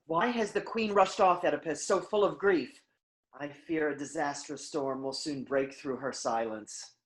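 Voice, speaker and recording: A woman playing a cautious, respectful elder of a Greek chorus, speaking in a measured, grave way in a staged reading. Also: deep voice. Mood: worried.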